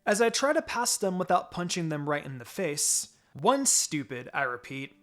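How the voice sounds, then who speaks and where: A man's voice: high voice, a bit bright. A man reading fan fiction aloud as a dramatic first-person story. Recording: music behind the voice. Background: background music.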